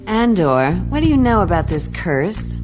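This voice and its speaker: A woman playing a tough, sardonic, skeptical investigator in a radio play, blunt and deadpan. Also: mid-range voice, normal speed, natural voice. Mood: probing. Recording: music behind the voice. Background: music.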